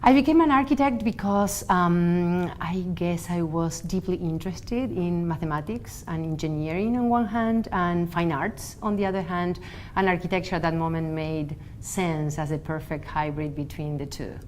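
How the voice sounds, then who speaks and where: Brief: female architect, lowish voice, reflective with hesitant pauses, faint hum behind. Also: darkish tone. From Argentina. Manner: thoughtful, pauses with frequent ums. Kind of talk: documentary interview.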